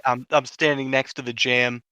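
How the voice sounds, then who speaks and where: A man with a crisp, forward, bright tone and a middling pitch talks casually as a tabletop game player. The sound is very dry and close. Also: little pitch movement, normal speed, no echo.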